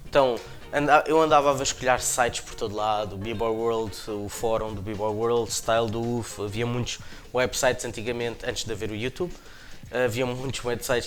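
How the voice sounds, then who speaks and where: A man's voice: medium pitch, fairly bright tone. A man in his thirties from the Lisbon area of Portugal with a European Portuguese accent, telling his story casually with slang and restarts in a documentary interview. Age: 30s. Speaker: interview guest, dancer.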